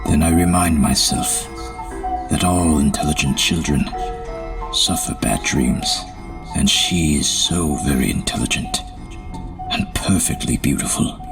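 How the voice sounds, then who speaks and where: A man playing a grave, introspective asylum doctor, reading his journal in a solemn, measured way for an audio drama. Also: rumbling low, creaky, music in the background, heavily acted. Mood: brooding, weary. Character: grave, brooding gentleman asylum doctor, a doting father. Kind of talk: first-person journal narration in audio drama.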